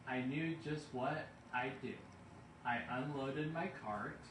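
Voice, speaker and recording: Male storybook narrator: slow, deliberate, expressive verse reading, distant mic with faint noise. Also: mid-range voice, echoey room. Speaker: bedtime story reader.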